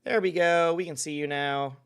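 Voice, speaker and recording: A man's voice: a little high, steady tone, moderate pace, clear, resonant tone. A young man hosting a live stream, chatting casually and laughing often as he reads out follows. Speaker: streamer host.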